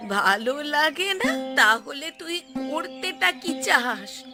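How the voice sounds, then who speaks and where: A woman's voice: high voice, big pitch swings, takes it slowly, music in the background, exaggerated voice. A woman voicing an exasperated, ageing village mother in a cartoon, nagging and sarcastic. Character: exasperated ageing village mother scolding her idle son. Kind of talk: cartoon voice acting.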